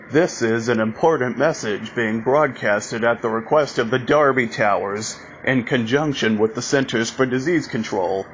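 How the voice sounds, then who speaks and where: A man reading a scripted emergency broadcast announcement, haltingly, repeating and restarting phrases, on a lo-fi recording. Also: medium pitch. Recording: dull lo-fi audio, noticeable background noise.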